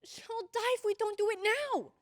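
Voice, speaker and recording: An excitable young American girl, played by a woman, tells her tale in a very high voice. She is earnest and insistent, in the manner of an old-time radio play. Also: moderate pace. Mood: urgent pleading.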